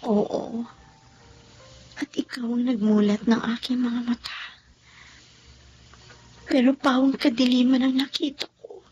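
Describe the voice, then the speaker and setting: A woman's voice: on the high side, flat delivery, unhurried, pauses often, a little mellow, faint music behind, natural voice, rough recording. A woman playing Sabrina, an emotional young woman in love, in a radio drama, often tearful and pleading. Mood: earnest. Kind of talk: Filipino radio drama acting.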